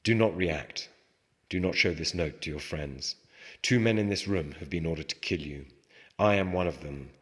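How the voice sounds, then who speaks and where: A man's voice: deep voice, moderate pace, a bit bright, pitched below the performer's own voice. A man reading out an anonymous assassin's warning note from a novel, clipped and commanding, in a reverberant space. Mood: grave warning. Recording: reverberant hall with long echo.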